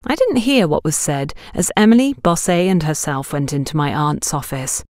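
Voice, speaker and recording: A woman's voice: deep voice, expressive, normal speed, darkish tone, no echo, studio quality. A woman voicing a suspicious, confiding young female shop worker in an audiobook, telling what they know warily. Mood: confiding, wary. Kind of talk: audiobook character dialogue.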